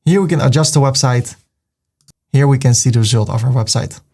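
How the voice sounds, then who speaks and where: A man from the Netherlands, calmly narrating a step-by-step software tutorial and describing each click as he makes it. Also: medium pitch, brisk, a little hiss or hum. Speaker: web design tutor. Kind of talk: screen-recorded software tutorial.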